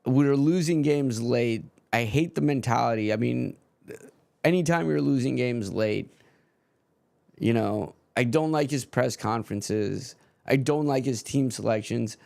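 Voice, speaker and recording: A man's voice: medium pitch, creaky, darkish tone, no room sound. A man streaming a live football match and ranting frankly about his team's manager, swearing freely in casual chat.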